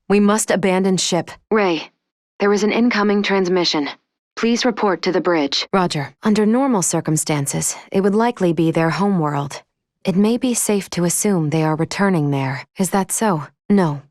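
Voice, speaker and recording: Game casting: actress as a logical female android ship's officer, lowish voice, formal, clinical and measured, recorded dry. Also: darkish tone, dry recording, natural voice. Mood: calm, businesslike. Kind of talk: scripted video game voice acting.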